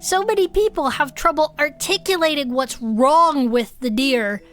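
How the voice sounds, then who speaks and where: A woman's voice: high voice, measured pace, fairly bright tone. A woman voicing a small, chatty creature who co-hosts a comedy fiction podcast in a folksy, matter-of-fact way. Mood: matter-of-fact, amused. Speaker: podcast co-host. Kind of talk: comedic improvised in-character banter.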